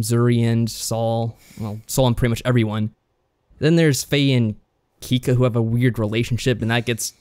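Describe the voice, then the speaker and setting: A man co-hosting a podcast, explaining and reviewing games in a measured, conversational way. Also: medium pitch, a little mellow, no echo. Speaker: podcast co-host. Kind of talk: conversational visual novel review.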